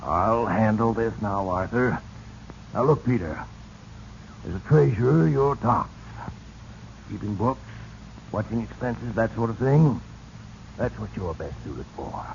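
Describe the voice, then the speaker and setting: An actor playing a smug company boss, condescending and quietly threatening, in a 1940s radio drama. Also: male voice, fairly low pitch, expressive, vocal fry. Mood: patronizing, firm. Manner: condescending, measured. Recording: muffled, noisy 1940s radio recording.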